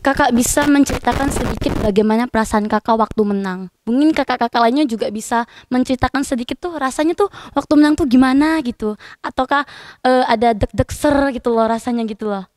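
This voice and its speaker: A woman's voice: fairly high pitch, quick pace, darkish tone, dry recording. A woman from Indonesia hosting a student podcast interview, asking questions politely with frequent hesitant fillers. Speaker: podcast host.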